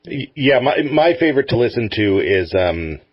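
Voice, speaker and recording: A man's voice: mid-range voice, sing-song, moderate pace, slightly rough, no echo, muffled recording. A man chatting casually as the interviewer on a talk show. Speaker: interviewer, host.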